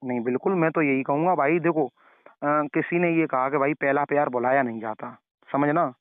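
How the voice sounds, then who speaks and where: Male preacher, fairly high voice, admonishing like an elder brother over a narrow, dry phone connection. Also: normal speed, dry recording. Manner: elder-brotherly, moralizing, repeats points for emphasis. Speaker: religious preacher giving advice. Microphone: phone call. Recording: narrow tinny phone call recording.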